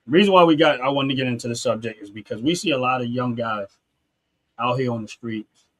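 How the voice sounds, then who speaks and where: A man hosts a casual talk show at an everyday middle pitch. He speaks earnestly, often restarting his sentences and dropping in street phrasing like "real talk.". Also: fast, fairly bright tone. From North Philadelphia, USA. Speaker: podcast host.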